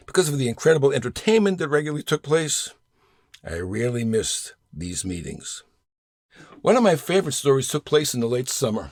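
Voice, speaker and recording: Older male essayist, measured storyteller, animated intonation, emphatic pauses, dry sound with no room. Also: medium pitch, dry recording. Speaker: essayist reading own work. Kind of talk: scripted personal essay reading.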